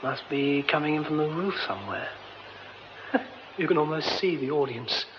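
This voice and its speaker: An actor as a young theatre man, self-muttering, wry and stammering, high bright pitch, dull radio sound with clear hiss. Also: normal speed, close to an everyday voice. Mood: musing. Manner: muttering to himself, wry, later frantic stammering. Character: young male theatre man exploring a derelict theatre. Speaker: lead character. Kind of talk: radio drama acting.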